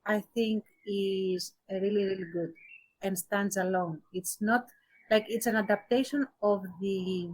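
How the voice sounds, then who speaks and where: Lady on a podcast, normal pitch, casual takes, kinda halting and repeating words. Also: fairly even intonation, a little mellow, poor audio. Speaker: podcast co-host. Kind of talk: casual opinionated discussion.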